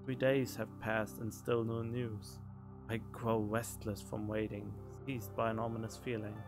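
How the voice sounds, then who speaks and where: A man voicing a desperate, grieving husband and father, reading game text theatrically, swinging between loud and hushed. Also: lowish voice, flat delivery, unhurried, over music. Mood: remorseful. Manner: dramatic read-aloud with loud outbursts and hushed moments. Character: grief-stricken, desperate husband and father, pleading.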